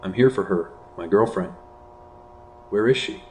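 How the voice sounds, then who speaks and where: A man playing a worried, gruff boyfriend in an amateur horror drama, speaking in a terse, casual way. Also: a little slow, close to an everyday voice. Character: gruff, worried boyfriend searching for his girlfriend. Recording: low voice under loud noise, clicks.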